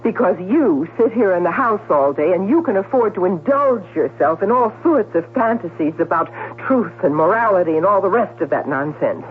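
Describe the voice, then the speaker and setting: An actress playing an evasive, self-dramatizing middle-aged newspaper gossip writer in a 1970s radio drama. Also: female voice, fairly low pitch, lively intonation, moderate pace, few pauses, lightly acted. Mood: bitter. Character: Clara, smooth evasive career newspaper gossip writer, an older sister. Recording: tinny, noisy old radio broadcast.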